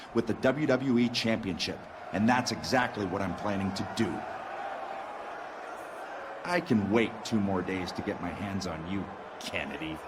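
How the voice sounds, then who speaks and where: A scheming, arrogant wrestling villain who taunts his rivals with a swaggering, boastful delivery. His pitch swings widely as he sneers and gloats. This game cutscene audio carries steady ambient noise behind him. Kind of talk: video game cutscene acting.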